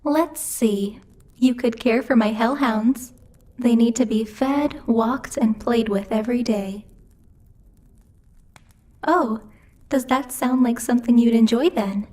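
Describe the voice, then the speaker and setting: A woman's voice: fairly high pitch, slow, long pauses, mellow. A woman playing a bossy, haughty demon lord who teases and mocks imperiously in a theatrical ASMR roleplay. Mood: businesslike, smug. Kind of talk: ASMR roleplay, scripted character acting.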